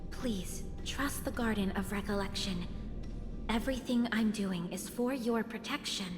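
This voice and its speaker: A woman playing a mysterious, serene guardian of memories in a video game, speaking solemnly and in measured phrases. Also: mid-range voice, lightly acted. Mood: pleading. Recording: long reverb with game music behind.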